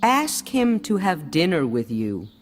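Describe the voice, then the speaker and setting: Lady doing a suspicious old queen from a storybook, american, deep and slow, lilting as she gives orders. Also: sing-song, slight background noise. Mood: scheming, instructing. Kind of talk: storybook dialogue.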